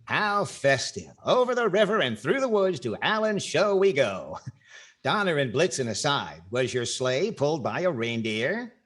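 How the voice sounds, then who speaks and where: A man's voice: fairly high pitch, very animated, a little slow, a bit bright, full character voice. A middle-aged man playing a dry, witty host in 1940s radio comedy style. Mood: welcoming, joking.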